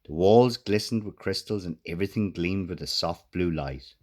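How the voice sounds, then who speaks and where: A man reading a children's storybook aloud as an expressive narrator. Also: sits low, moderate pace. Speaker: author reading own children's book.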